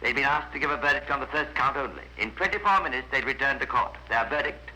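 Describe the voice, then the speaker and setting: A man's voice: mid-range voice, fast talker, sharp tone. An actor playing a composed, wry male narrator of a scripted 1950s radio crime drama. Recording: noisy vintage 1950s radio recording.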